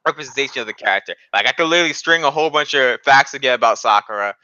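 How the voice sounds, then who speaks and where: A man's voice: mid-range voice, rapid-fire, pushed and bright, no room sound, lo-fi sound. A man casually debating anime in an argumentative, insistent way, often repeating his points.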